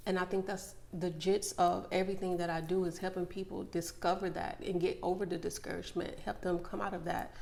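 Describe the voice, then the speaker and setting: A woman's voice: on the low side, flat delivery, a little fast, creaky, hardly any pauses, darkish tone. A woman, speaking earnestly and reflectively as a coach and guest in a casual interview, laughing easily. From Mississippi. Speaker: podcast guest, motivational coach.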